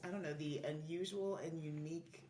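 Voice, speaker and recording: A woman's voice: deep voice, fairly even intonation, moderate pace, warm tone, recorded from a distance. A woman chatting casually and effusively as a podcast guest, telling stories in an easy conversational way. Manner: effusive, laughs easily. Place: live in-person podcast recording in a room. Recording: roomy echo, off-mic, faint noise.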